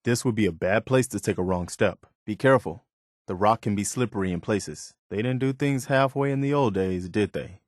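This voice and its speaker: An actor playing an earnest, loyal, plain-spoken militia man in a video game, sincere and measured. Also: lowish voice, no echo, studio quality, natural voice. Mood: wary, wry. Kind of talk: naturalistic video game dialogue.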